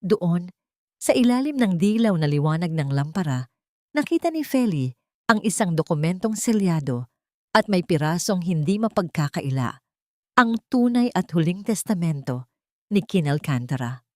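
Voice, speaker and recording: A woman narrates a dramatic audiobook story at an unhurried pace. Her voice is fairly low. The recording is close and dry. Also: sing-song, mellow, dry recording.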